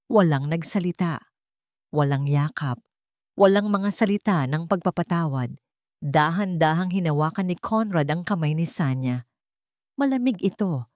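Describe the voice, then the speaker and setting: A woman's voice: fairly low pitch, darkish tone, no echo, clean studio sound. A woman reading a scripted dramatic love story as narrator.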